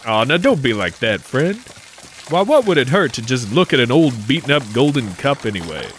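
A man plays a wily adventurer in a comedic 1940s-style radio play. He delivers sly, folksy patter full of old-time slang, with a lively pitch that rises and falls freely. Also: normal speed, slight background noise. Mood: coaxing. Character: folksy, wily adventurer narrating his own scheme.

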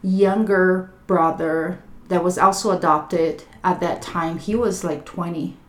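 A woman with a Brazilian Portuguese accent, a podcast guest telling her life story in a thoughtful, unhurried way. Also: fairly low pitch, steady tone. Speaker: guest, clinical social worker. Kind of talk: reflective personal storytelling. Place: living room of a home. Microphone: table microphone.

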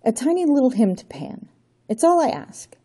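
A woman's voice: on the low side, expressive, moderate pace, dark tone, slightly rough. A woman voicing a desperate young male hero who speaks with urgent, pleading intensity in a raised voice.

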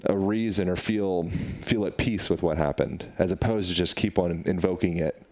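A man's voice: sits low, moderate pace, darkish tone. A man co-hosting a casual conversation, rambling and thinking aloud with fillers.

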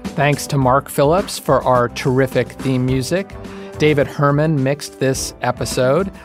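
A male podcast co-host reading the closing credits in a measured way. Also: medium pitch, music in the background.

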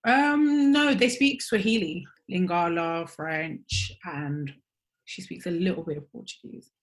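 A woman in her twenties from Angola, a podcast guest talking in an easygoing way, her voice trailing off softly at phrase ends. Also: on the low side, measured pace. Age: 20s. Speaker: guest, gym franchise owner. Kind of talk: relaxed interview conversation.

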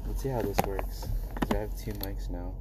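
Host: man, lowish voice, hesitant and chatty, home podcast with background noise. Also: fairly even intonation, normal speed. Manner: hesitant, laughing, trailing off. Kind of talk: casual faith chat.

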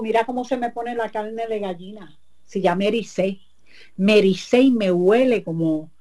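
A woman's voice: a little high, mellow, pitched above the performer's own voice, lightly acted. Woman playing a fussing, suspicious country wife. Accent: Puerto Rican. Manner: fussing, muttering to herself.

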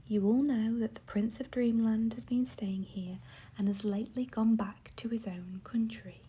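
A woman voicing a gracious, commanding fairy queen in a soft whisper. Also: a little high, fairly even intonation, moderate pace, a little hiss or hum, lightly acted. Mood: stately. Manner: whispered, stately.

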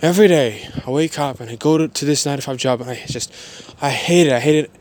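A man of twenty-one giving a motivational personal monologue in a halting, repetitive, emphatic way. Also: medium pitch, a little mellow, a little hiss or hum.

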